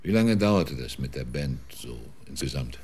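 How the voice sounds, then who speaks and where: An actor playing a private detective in a radio drama, asking short, probing questions. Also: male voice, extremely low pitch, very animated, measured pace, darkish tone, slightly rough, full character voice. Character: private detective Carvalho, dry, persistent. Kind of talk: German radio drama acting.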